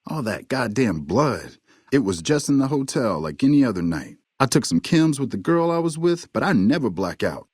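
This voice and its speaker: An actor playing a nervous, foul-mouthed smuggler and hustler in a video game, cocky but jittery. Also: male voice, medium pitch, very animated, moderate pace, darkish tone, slightly rough, dry recording, clean studio sound. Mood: uneasy, recounting. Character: fast-talking, foul-mouthed male hustler, nervous smuggler under blackmail. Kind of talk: video game dialogue acting.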